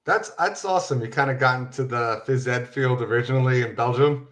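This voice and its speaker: A man's voice: medium pitch, brisk, fairly bright tone. A man hosting a casual interview show, upbeat and easygoing with loose phrasing. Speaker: podcast host.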